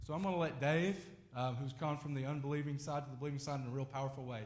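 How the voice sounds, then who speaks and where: A man's voice: medium pitch, fairly even intonation, fast talker, darkish tone. A man hosting a church event, speaking informally to a congregation as he introduces a guest and shares scripture. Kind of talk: informal spoken address to a church audience. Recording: roomy, reverberant church hall sound.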